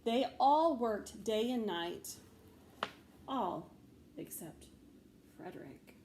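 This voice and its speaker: A woman reading a children's story aloud expressively, with measured phrasing and deliberate pauses. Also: fairly high pitch, expressive. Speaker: storybook reader, teacher. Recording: faint background noise.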